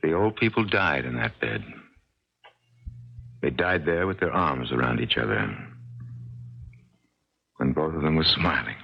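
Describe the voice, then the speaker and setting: A strange, grieving older American man guarding a lost sweetheart's memory. His voice is very low and moves expressively as he tells things with slow, plain certainty. It sounds like a dull 1970s radio drama recording. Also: lively intonation, gravelly, slightly rough, full character voice. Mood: wistful.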